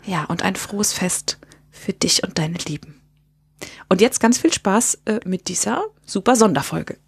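A woman's voice: mid-range voice, animated, measured pace, vocal fry, slightly rough. A woman hosting a podcast, chatting casually and asking the guest friendly questions. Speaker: podcast host and interviewer.

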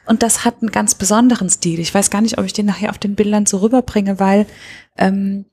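A woman's voice: medium pitch, steady tone, brisk, mellow, slightly rough. A woman interviewing someone in a casual way, thinking aloud and searching for her words as she goes.

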